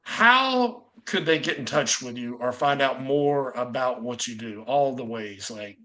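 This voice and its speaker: A man's voice: medium pitch, lively intonation, unhurried, pushed and bright. A man hosting a casual interview, chatty and informal, rambling a little as he talks with his guests. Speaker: podcast host interviewing guests.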